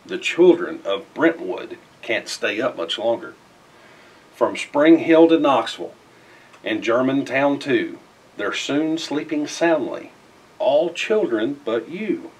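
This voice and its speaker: A middle-aged man reads a rhyming children's picture book aloud in an expressive, theatrical way with emphatic phrasing. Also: medium pitch, slow, breathy edge, recorded from a distance, poor audio. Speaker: storybook reader. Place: indoors in front of a festive backdrop.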